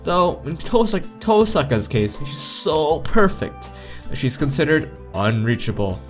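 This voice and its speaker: A man's voice: a little high, very animated, measured pace. A man reading visual novel text aloud as a let's player, stumbling over lines and repeating words, with joking asides. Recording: game music behind voice.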